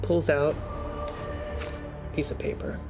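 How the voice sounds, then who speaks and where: A man narrating a tabletop game as game master, with a relaxed, descriptive manner. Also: slow. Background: music score.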